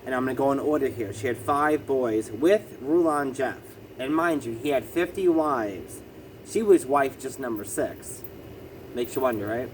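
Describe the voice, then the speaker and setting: A man's voice: mid-range voice, normal speed, a little hiss or hum, poor audio. A man with a lisp talking through a casual explanatory vlog, hesitant and full of ums and self-corrections. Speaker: vlogger.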